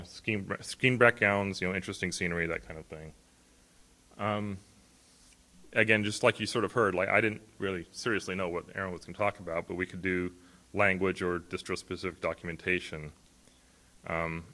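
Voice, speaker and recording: A middle-aged man with a low voice gives a conference talk from a podium on a large stage. His delivery is loose and conversational, full of ums, you-knows and self-corrections. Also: fairly bright tone. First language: not a native Hawaiian speaker. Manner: conversational, frequent fillers and restarts. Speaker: conference speaker. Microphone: podium mic, filmed from far back.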